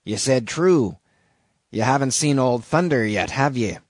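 A man's voice: mid-range voice, lively intonation, a little slow, no room sound, clean studio sound. A man voicing a shabby old sailor in an audiobook, an ominous, derisive stranger who talks insistently in archaic seafaring speech. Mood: urgent. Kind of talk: audiobook character reading.

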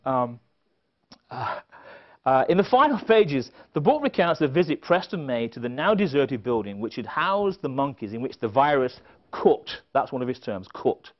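A man's voice: mid-range voice, sing-song, normal speed. A middle-aged man giving a prepared conference lecture, with wry, dry humorous asides and emphasis on quoted phrases. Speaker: conference speaker. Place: indoor stage at a conference podium. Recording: slightly dull, band-limited event recording.

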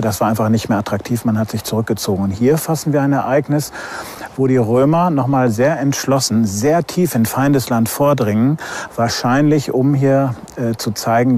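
A man's voice: lowish voice, a little mellow. A man explaining as an expert in an unscripted interview. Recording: faint background ambience noise.

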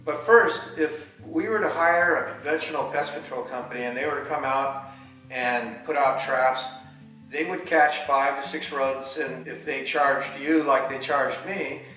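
A man's voice: fairly high pitch, bright, forceful tone. Older man explaining plainly in a roomy room. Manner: measured, matter-of-fact explaining. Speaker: falconer and barn owl box installer. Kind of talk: scripted educational narration. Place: living room by a fireplace. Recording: roomy sound with faint music.

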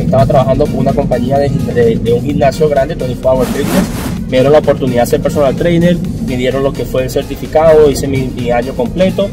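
A man's voice: on the high side, rough recording. A young man from Caracas with a Venezuelan Spanish accent, a personal trainer answering interview questions in a car, hesitant, with many fillers. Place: passenger seat of a car.

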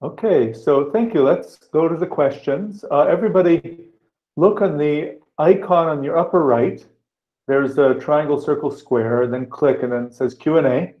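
A man's voice: on the high side, moderate pace. A man hosting an online meetup, giving plain instructions and reading out questions on a headset video call. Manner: plain procedural instructions with fillers. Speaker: meetup host. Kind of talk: moderating Q&A. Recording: echoey dull video call audio.

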